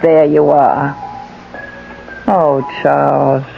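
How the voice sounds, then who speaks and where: An actress playing a lonely, adoring, genteel widow in a 1980s radio mystery drama, with theatrical, drawn-out endearments. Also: female voice, sits low, little pitch movement, creaky, faint music behind, heavily acted. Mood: adoring, yearning. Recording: muffled, noisy old radio broadcast recording.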